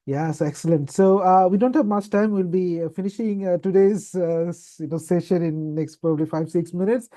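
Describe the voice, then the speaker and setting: A man's voice: high-pitched, fairly quick, few pauses, dark tone. A man hosting a panel session, effusive and given to repeating his phrases. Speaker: event host. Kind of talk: moderating an online panel session.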